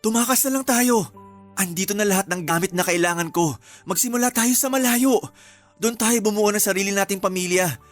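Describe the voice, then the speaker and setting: An actor playing a desperate, lovestruck groom, pleading earnestly in a Filipino radio-style romantic drama. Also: male voice, sits high, a little fast, soft background music, close to an everyday voice. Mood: impassioned, urgent. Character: desperate, lovestruck groom begging his lover to run away.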